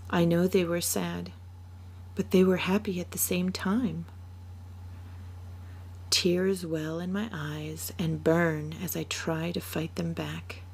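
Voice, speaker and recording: A woman narrating a written story in a deliberate storytelling manner, as an author reading her own work. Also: lowish voice, creaky, a little mellow, slight background noise.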